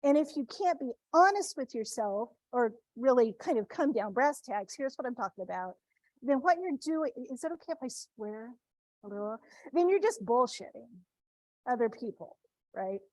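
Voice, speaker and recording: Woman from the Midwest, fairly high voice, talks to students in a chatty, restart-heavy way; dry, close recording. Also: expressive, moderate pace, a little mellow, no room sound, rough recording. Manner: conversational, many restarts and tag questions. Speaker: speaker addressing students. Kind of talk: informal talk to students.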